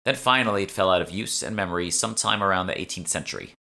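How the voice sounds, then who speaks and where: A man acts out a brusque female alchemist from an urban fantasy audiobook. She is irritable and sardonic, explaining things curtly with a sharp, forceful edge. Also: low voice, quick pace, creaky, exaggerated voice. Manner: curt, sardonic, sweary. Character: brusque, irritable young woman, know-it-all alchemist partner.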